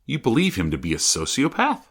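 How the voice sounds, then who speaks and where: An actor playing an earnest, probing true-crime podcast host, narrating and interviewing in a measured, serious way. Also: male voice, mid-range voice, huge pitch swings, fairly bright tone, slightly rough, full character voice.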